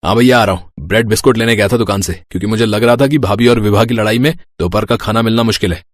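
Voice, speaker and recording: Easygoing adult son, played by a man, lowish voice, wry and casual, dry recording. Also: fast talker, dry recording, natural voice. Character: easygoing adult son joking about household quarrels.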